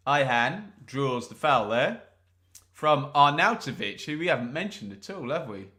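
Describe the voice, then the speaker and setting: Man, football watch-along host, live commentary, jokey asides, bright voice. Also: medium pitch, lively intonation, unhurried. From England. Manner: chatty, joking, asides to the live chat. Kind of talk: live match commentary and chat.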